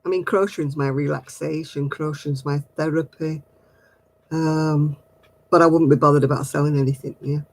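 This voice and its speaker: A man's voice: fairly high pitch, steady tone, moderate pace, dry recording. A middle-aged man, hosting a casual craft livestream and chatting with viewers in a giggly, rambling way. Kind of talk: casual chat answering viewer comments.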